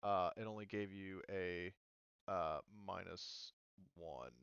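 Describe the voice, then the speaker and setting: A man acting as game master in a casual online roleplaying session, thinking aloud and halting as he checks rules. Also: deep voice, steady tone, gravelly, fairly bright tone, clean tone, no echo, muffled recording.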